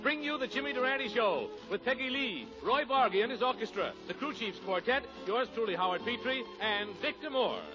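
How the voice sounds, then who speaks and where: High-pitched American radio announcer, man. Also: lively intonation, fairly quick, hardly any pauses, over music. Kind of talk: 1940s radio announcing and scripted banter. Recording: dull old 1940s radio recording.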